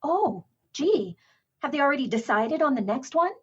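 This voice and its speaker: A woman's voice: high-pitched, big pitch swings, normal speed. A woman playing an eager, chatty fifteen-year-old girl star in 1930s radio comedy, gushing and wide-eyed, in slightly dull audio. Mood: innocently cheeky.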